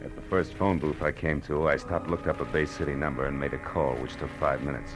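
An American actor playing a cool, tough, wisecracking private eye in a 1940s radio drama, with terse, sardonic delivery. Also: male voice, very deep voice, steady tone, a little fast. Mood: cool narration. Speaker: narrator and lead actor in radio detective series. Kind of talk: 1940s radio acting, first-person noir narration. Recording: vintage radio drama with music underneath.

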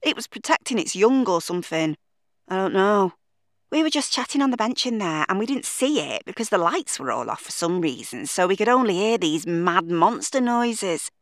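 A woman voicing a chatty, excitable young local woman, rambling in breathless chatter. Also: mid-range voice, moderate pace, no echo, clean studio sound, voice pushed up. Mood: excited.

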